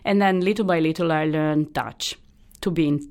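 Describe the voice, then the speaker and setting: A woman in her sixties from Italy with an Italian accent, a hematologist answering interview questions in a halting, thoughtful way. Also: deep voice, a little mellow. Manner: thoughtful, halting, many restarts and fillers. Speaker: hematologist guest. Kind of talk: interview, explaining her career.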